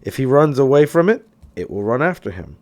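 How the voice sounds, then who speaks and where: A man narrates a self-help book aloud. His intonation moves up and down in an animated way, and he leans on key phrases with clear emphasis. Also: medium pitch, brisk. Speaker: audiobook reader.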